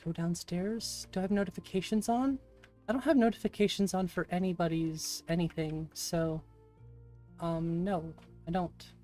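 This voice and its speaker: A streamer with a raspy voice chatting casually with viewers during a live game stream. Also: medium pitch, fairly even intonation, moderate pace, mellow, soft background music. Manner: chatty, reacts aloud and answers chat. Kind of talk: casual live-stream chat while gaming. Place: home room.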